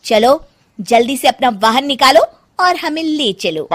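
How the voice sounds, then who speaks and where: A woman voicing a haughty young wife who's sure she's royalty in a cartoon story, high voice that jumps all over, bossy and commanding. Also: expressive, a little slow, fairly bright tone, dry recording, studio quality, exaggerated voice. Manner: commanding, imperious, uses the royal we. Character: spoiled young wife who thinks she is a princess, bossy and haughty. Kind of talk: Hindi cartoon story voice acting.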